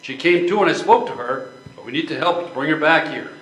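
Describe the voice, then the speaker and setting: An older man in amateur readers theater playing an excited, alarmed hotel guest, speaking urgently. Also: on the high side, a little fast, lightly acted. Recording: echoey room.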